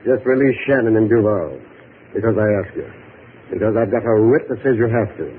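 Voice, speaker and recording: An actor playing an oily, boastful crooked lawyer with an ingratiating, eager manner, in 1950s radio style. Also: male voice, on the low side, a little fast, close to an everyday voice. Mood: gloating. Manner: ingratiating, very animated. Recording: noisy, tinny old radio broadcast.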